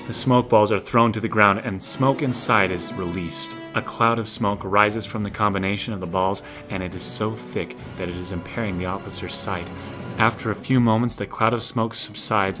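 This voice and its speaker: A man reading scripted action narration for an audio drama. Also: fairly low pitch. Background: music.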